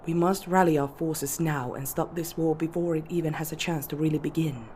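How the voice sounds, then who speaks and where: A woman playing a composed, strategic elven noblewoman, speaking in formal, measured counsel for an ASMR fantasy roleplay. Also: sits low, steady tone, darkish tone, a little hiss or hum, natural voice. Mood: grave, assured.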